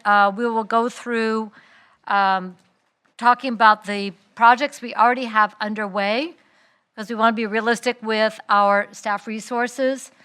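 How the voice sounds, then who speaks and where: A woman's voice: a little high, a bit bright, smooth. Woman mayor chairing a meeting. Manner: brisk and organized, with fillers. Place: council chamber.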